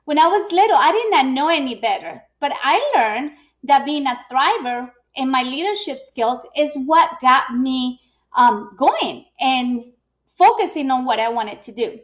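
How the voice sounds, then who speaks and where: Middle-aged woman, high voice, chatty live-stream speaker who restarts often. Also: lively intonation, measured pace, a bit bright. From Ecuador. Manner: chatty, frequent fillers and restarts. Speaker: business owner and speaker. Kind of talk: live video presentation.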